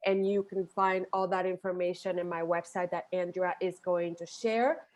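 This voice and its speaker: A woman's voice: lowish voice, normal speed. Cheerful woman on a video call. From Puerto Rico. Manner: cheerful, animated. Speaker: children's book author. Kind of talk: book presentation on a video call. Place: home room. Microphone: earphones with mic on a video call.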